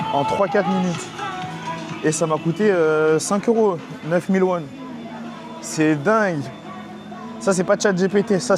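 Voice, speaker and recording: A young man from France vlogging his walk through busy city streets, talking to his viewers in excitable, slangy speech. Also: fairly high pitch. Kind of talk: casual travel vlog talk. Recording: music mixed under the voice.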